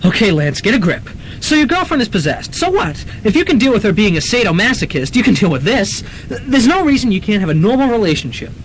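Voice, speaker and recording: A man's voice: sits high, big pitch swings, fast, few pauses, a little hiss or hum, low-quality recording. A young man playing a devoted young hero in a college radio drama, earnest and pleading. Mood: resolute. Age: young adult.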